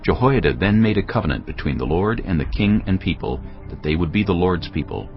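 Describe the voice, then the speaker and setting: Male scripture narrator, very low voice, animated intonation, dramatic scripted reading, slightly muffled lo-fi audio, music behind. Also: fairly quick. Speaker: Bible narrator. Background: background music.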